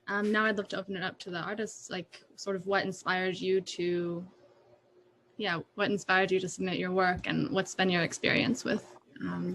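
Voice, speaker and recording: Woman moderator, slightly low voice, casual, faint ambience behind. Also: fairly bright tone, a little hiss or hum. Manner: casual, with fillers like um and like. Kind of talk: panel discussion.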